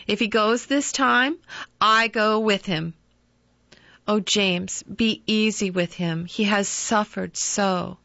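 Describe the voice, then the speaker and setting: Soft loving mother, pitched way up and earnest, dry lo-fi audiobook as a woman reads her. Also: fairly even intonation, slow pace, creaky, dry recording, heavily acted. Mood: urgent. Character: tender pleading mother, middle-aged woman. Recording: dull lo-fi dry recording.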